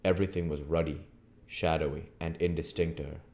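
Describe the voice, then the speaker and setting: Male amateur audiobook narrator, low register, reading classic fiction aloud. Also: steady tone, a little fast, darkish tone. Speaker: audiobook reader.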